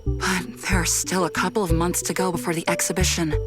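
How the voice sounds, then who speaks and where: Female botanist, played by an actress, slightly low voice, composed and dryly playful, loud music underneath. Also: flat delivery, fairly quick, close to an everyday voice. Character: dry, driven woman botanist, quietly playful. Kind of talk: visual novel voice acting.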